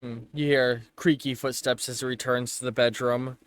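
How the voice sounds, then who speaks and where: A man narrating a tabletop game as game master, improvising casually and haltingly as he searches for words. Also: mid-range voice, flat delivery, clean tone, no echo, studio quality.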